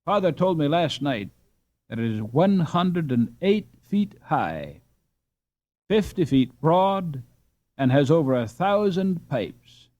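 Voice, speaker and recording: A man doing a brisk, know-it-all boy. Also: fairly high pitch, very animated, darkish tone, dry recording, muffled recording. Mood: explaining. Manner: brisk, informative. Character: brisk knowledgeable Dutch boy interpreter.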